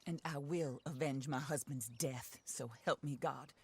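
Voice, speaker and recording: Low-voiced rich widow out for revenge, very proper and polished. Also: female voice, measured pace, breathy edge, exaggerated voice. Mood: calm, composed. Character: wealthy genteel widow bent on revenge. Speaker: patron hiring outlaws. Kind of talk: video game cutscene acting.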